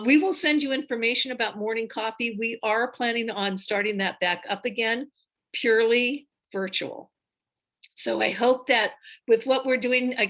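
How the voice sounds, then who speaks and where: Woman presenter on a video call, slightly high voice, enthusiastic and chatty. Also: a bit bright. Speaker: workshop presenter. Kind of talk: casual talk on a video call.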